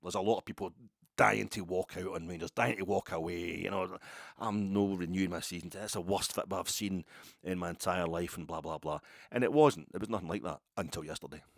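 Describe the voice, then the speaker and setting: Deep-voiced rambling Scottish man. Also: a little fast, creaky, no room sound, studio quality. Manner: rambling, wry, colloquial. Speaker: football fan podcast host. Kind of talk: casual solo chat.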